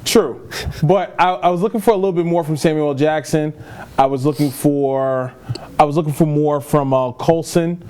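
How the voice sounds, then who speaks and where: A man's voice: fairly high pitch, normal speed, dark tone, clear, resonant tone. A man co-hosting a film podcast in casual chat, rambling and repeating himself for emphasis. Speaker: podcast co-host reviewing films.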